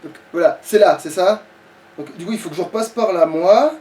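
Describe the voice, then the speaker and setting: High-pitched man, distant from the mic. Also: moderate pace, warm tone. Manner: casual, swearing, talking to the game. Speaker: let's play player. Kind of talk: casual gaming chat. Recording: distant from mic, background noise.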